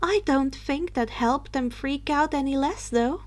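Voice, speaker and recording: A woman narrates a piece of fan fiction. Her voice sits quite high, and she reads in an expressive storybook style. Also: a little slow.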